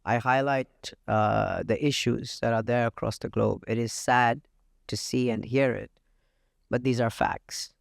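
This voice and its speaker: A man from India, a real estate agent talking as a podcast guest, confident and matter-of-fact with frequent pauses. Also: mid-range voice, steady tone, vocal fry, no room sound, clean studio sound. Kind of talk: casual interview talk. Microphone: podcast studio mic.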